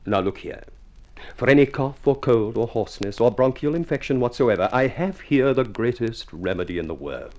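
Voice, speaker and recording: A man's voice: medium pitch, animated, moderate pace, a little mellow, lightly acted. An actor playing a glib, grandiloquent man with a flowery tongue in a vintage radio drama. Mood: pompous sales pitch. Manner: florid, grandiloquent delivery. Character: ugly, glib, flowery-tongued suitor turned pushy remedy salesman. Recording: noisy vintage radio recording.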